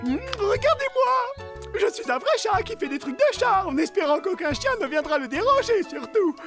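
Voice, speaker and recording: A woman's voice: very high voice, big pitch swings, moderate pace, heavily acted. A woman playing a naive, sensitive male boar in a French cartoon, whiny and childlike in manner. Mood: nervous. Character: naive, sensitive, hungry male boar posing as pet cat. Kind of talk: French animated cartoon dub. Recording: cartoon music behind.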